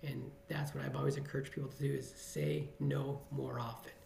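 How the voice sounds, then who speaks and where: A man's voice: medium pitch, flat delivery, a little fast, creaky, a little mellow, roomy sound, slight background noise. A middle-aged man from the Chicago area, an emergency physician giving earnest, motivational interview answers and repeating phrases for emphasis.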